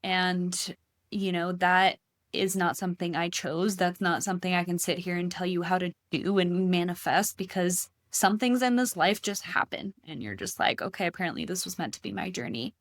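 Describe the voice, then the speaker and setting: A woman in her thirties who grew up in Costa Rica, speaking as a podcast guest. Her voice sits a little low, and she tells her story in a reflective, casual way, recorded close and dry. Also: normal speed, no room sound. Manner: reflective, storytelling, many fillers. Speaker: podcast guest, healing coach. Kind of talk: casual interview talk.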